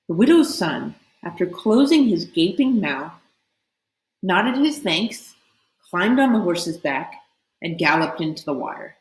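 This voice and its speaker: A woman with a fairly low voice narrates a storybook aloud. She reads expressively, leaning on dramatic words, in an echoey room. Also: sing-song, a little slow.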